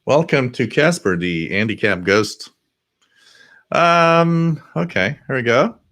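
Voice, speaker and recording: A man's voice: mid-range voice, animated, slow pace, a bit bright, no room sound, studio quality. A man hosting a live shortwave radio stream, joking, laughing often and reading out frequencies. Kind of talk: casual live-stream chat.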